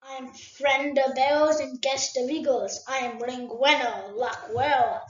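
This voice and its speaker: A woman voicing a small, clever male hobbit who speaks quickly and politely in riddles. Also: sits high, echoey room, dull audio. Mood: polite, riddling. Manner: quick, flattering, riddling speech.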